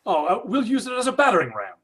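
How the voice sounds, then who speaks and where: A man plays an anguished father in an old-time radio horror drama. His high, husky voice swings widely as he stammers through agitated, guilt-ridden pleas. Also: huge pitch swings, quick pace, a bit bright, heavily acted. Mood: frantic. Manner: agitated, stammering, breathy exclamations. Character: desperate, guilt-stricken father searching for his abducted daughter.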